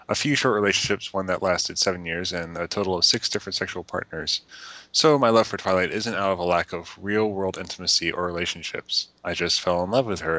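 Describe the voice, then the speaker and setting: Bright, low-voiced man reading aloud. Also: no echo, poor audio. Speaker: reader of a letter to friends.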